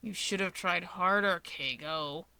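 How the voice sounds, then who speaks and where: Woman putting on a low, slow, menacing villain. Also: vocal fry, sharp tone, no echo, exaggerated voice. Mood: cold, threatening. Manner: slow, drawled threats. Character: menacing, grumbling male villain just waking up.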